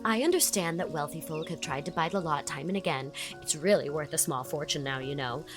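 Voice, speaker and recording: A woman's voice: sits low, lively intonation, fairly quick, over music. A woman playing a chatty, effusive young society woman in an audiobook drama, leaning on words for dramatic emphasis. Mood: confiding.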